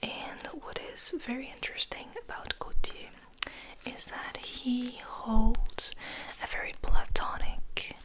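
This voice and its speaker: A young woman whispering softly and gently in an ASMR style, reading and musing in a hesitant way. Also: fairly high pitch, little pitch movement, slow pace, rough recording. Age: young adult. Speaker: ASMR host, literature student.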